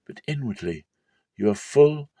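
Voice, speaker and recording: Man acting a scathing, indignant prophet, swooping pitch. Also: animated, measured pace, warm tone, dry recording, clean studio sound. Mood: scathing indignation. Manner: emphatic, declamatory, swooping delivery. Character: stern prophet-teacher denouncing hypocrites, indignant and scathing.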